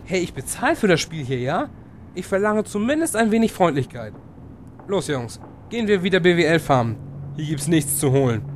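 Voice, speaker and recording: A man's voice: fairly high pitch, sing-song, normal speed, slight background noise. A man playing an indignant, entitled young online gamer in a German audio drama parody.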